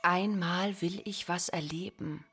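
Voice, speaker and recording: An actress playing a bored young daughter who longs for adventure, in a yearning radio drama delivery. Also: female voice, on the low side, fairly even intonation, unhurried, fairly bright tone, slight background noise. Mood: wistful longing.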